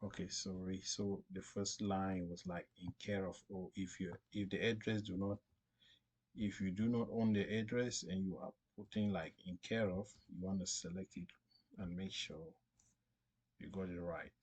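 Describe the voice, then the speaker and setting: A man narrating an unscripted step-by-step screen tutorial in a halting, repetitive way. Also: deep voice, steady tone, darkish tone, breathy edge. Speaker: tutorial presenter.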